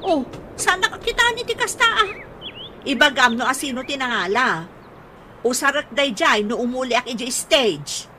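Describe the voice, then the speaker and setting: Woman acting high-voiced, fussy Ilocano mother. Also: animated, moderate pace, pushed and bright. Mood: irritated, scolding. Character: fussy, vain mother with a sharp temper. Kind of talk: Ilocano radio comedy drama acting. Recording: noisy radio drama recording, ambient sounds.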